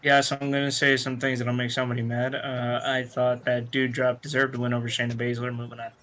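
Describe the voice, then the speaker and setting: A man with a mid-pitched voice talks on a podcast. His delivery is dry and very even, with little rise or fall. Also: fast talker. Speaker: podcast panelist. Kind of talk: sponsor read and casual podcast talk.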